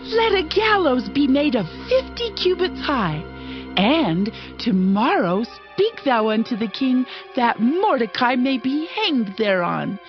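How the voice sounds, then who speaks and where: An actress playing a villain's scheming, haughty wife, imperious and urging, in a dramatized scripture audiobook. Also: female voice, high-pitched, very animated, slow, highs cut off, faint music behind, full character voice. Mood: scheming relish.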